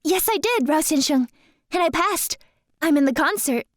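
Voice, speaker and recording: A woman's voice: high-pitched, lively intonation, normal speed, no echo, studio quality. A woman playing an earnest, polite young magical dancer in an audio drama, with a sincere, careful, courteous delivery. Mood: happy.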